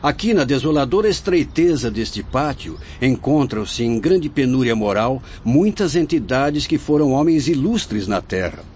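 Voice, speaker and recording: A man's voice: mid-range voice, big pitch swings, a little slow, few pauses, a little mellow. Solemn fatherly Brazilian spirit mentor, muffled audio. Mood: solemn, sorrowful. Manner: solemn, didactic, declamatory. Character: grave fatherly spirit director instructing his apprentices. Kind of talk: radio drama acting in a spiritist serial. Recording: muffled lo-fi audio with faint noise.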